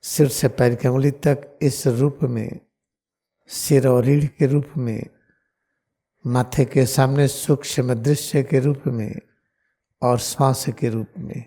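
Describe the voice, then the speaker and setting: A man with an Indian accent leading a guided meditation as a teacher, giving deliberate, repeated, solemn instructions. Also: medium pitch, steady tone, warm tone.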